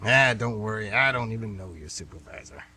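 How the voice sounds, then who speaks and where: A man's voice: on the low side, expressive, a little fast, pushed and bright. An actor with an American accent playing an indignant, stubborn older man, folksy and emphatic, in a radio play. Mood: scornful. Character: stubborn, indignant old male former baseball fan.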